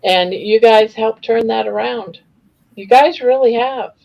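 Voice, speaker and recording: A woman's voice: a little high, unhurried, creaky, darkish tone, a little hiss or hum. A woman in her sixties chatting casually and candidly as host of a live panel, heartfelt and plain-spoken. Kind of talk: casual personal chat. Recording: slightly dull, lo-fi livestream audio.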